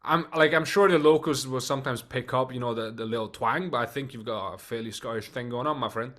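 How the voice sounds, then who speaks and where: A man from Romania, a native Hungarian speaker, hosting a casual interview in a friendly, relaxed way with some hesitations. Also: medium pitch, brisk. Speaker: podcast host.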